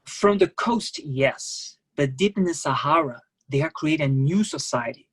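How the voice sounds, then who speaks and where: Earnest ship worker. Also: female voice, deep voice, lively intonation, husky, exaggerated voice. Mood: earnest, hopeful. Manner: halting, simple non-native phrasing. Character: idealistic young Brazilian cruise-ship worker, earnest and secretive. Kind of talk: dramatic staged play reading.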